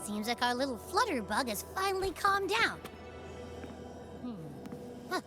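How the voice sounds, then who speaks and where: A perky young game heroine leading the team, super high voice, bouncy intonation. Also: female voice, very animated, unhurried, music in the background. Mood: upbeat. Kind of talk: video game voice acting.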